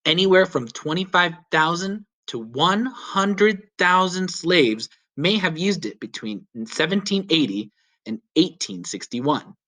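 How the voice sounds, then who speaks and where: A man reading a children's story aloud as a teacher would, with clear, deliberate, emphatic phrasing. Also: fairly high pitch, expressive, bright, forceful tone. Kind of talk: children's read-aloud narration.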